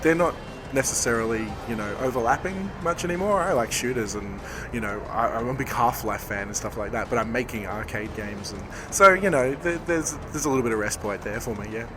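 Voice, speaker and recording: A man, a game developer, hoarse from talking all day, answering interview questions in a rambling, thoughtful way. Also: mid-range voice, a little fast, background noise. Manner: rambling, self-correcting, thoughtful. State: hoarse, losing his voice. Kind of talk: convention floor interview. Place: busy convention floor.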